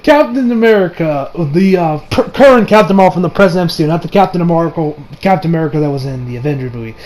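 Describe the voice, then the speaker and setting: A young man giving a casual, rambling movie review as an excitable, slangy fan who trails off into asides. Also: a little high, quick pace. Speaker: video reviewer. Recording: music behind the voice. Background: music.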